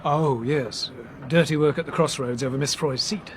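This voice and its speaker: A quick-witted young Englishman, played by a male actor, spins his words with teasing theatrical relish. His voice sits slightly high, in a radio drama with a faint rumble behind it. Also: close to an everyday voice. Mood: jaunty, teasing. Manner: jaunty, teasing, theatrical storytelling. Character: breezy, quick-witted young Englishman playing amateur detective. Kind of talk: British radio drama acting.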